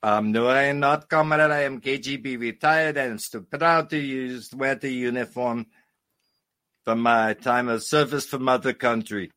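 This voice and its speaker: Man putting on a bright, boisterous Russian KGB major. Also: mid-range voice, unhurried, no echo. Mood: proud, genial. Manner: jokey, swaggering, broken English. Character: boisterous retired Soviet KGB major hosting a radio show. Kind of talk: comic in-character radio interview.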